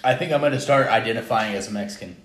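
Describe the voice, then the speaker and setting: A man's voice: mid-range voice, very fast, fairly bright tone, slight background noise. Wisecracking man in echoey room. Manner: dry wisecracks, anecdotes with quoted dialogue. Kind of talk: casual crude chat.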